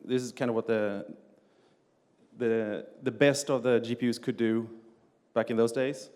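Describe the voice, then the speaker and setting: A man's voice: medium pitch, fairly even intonation, unhurried, a little mellow. Man lecturing in a reverberant hall. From Sweden. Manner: frequent "uh" fillers and restarts, plain explanatory delivery. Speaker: conference speaker, game engine developer. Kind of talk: technical conference talk. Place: conference hall on stage.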